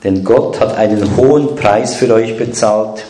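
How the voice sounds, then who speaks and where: A man's voice: on the low side, a little slow, warm tone, slight background noise. A man interpreting a sermon live, phrase by phrase, with some hesitations. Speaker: church interpreter. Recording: echoey hall.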